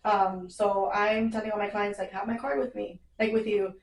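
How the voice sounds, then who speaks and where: A woman's voice: medium pitch, level tone, moderate pace, vocal fry. A young woman, an immigration lawyer explaining things plainly and reassuringly in casual podcast chat. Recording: roomy, mic a bit far.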